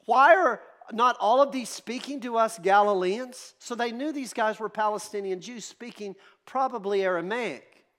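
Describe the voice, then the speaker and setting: A pastor preaching a sermon, emphatic and animated, often repeating words as he builds a point. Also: high voice.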